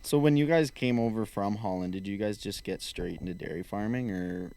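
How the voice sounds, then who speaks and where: A man's voice: fairly low pitch, fairly quick, creaky, mellow. A young man co-hosting a podcast, laid-back and joking, laughing often. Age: young adult. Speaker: podcast co-host. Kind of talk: casual podcast chat.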